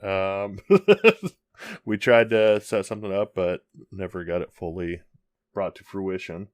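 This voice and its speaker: A man's voice: deep voice, little pitch movement, unhurried, no echo. A man co-hosting a casual podcast, rambling along with lots of ums and restarts. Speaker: podcast co-host. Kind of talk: casual chat.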